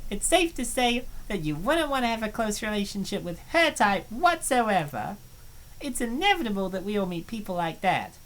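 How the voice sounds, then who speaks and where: A woman's voice: mid-range voice, very animated, normal speed, close to an everyday voice, poor audio. A woman voicing a shy young college student who is obsessed with a boy, reading in an earnest, confessional way. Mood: confiding. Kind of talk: visual novel voice acting.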